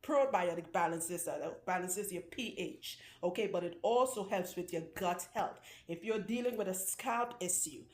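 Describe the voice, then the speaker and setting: A woman's voice: on the low side, moderate pace, few pauses. A woman loc stylist hosting her channel, chatting casually and jokingly with viewers while she works on a client's hair in a salon room. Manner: chatty, jokey, outspoken. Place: indoor salon room with a couch. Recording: roomy indoor sound.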